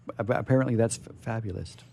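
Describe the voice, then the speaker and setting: A man hosting a casual radio interview, speaking haltingly and repeating his phrases as he goes. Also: fairly low pitch, creaky, darkish tone, slight background noise.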